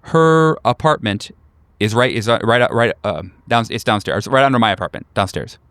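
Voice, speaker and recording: A man's voice: fairly low pitch, expressive, moderate pace, a bit bright, clean tone, no room sound. A man voicing a painfully awkward young recluse in his twenties in a tabletop horror game, stammering, repeating himself and apologizing. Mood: anxious, defensive.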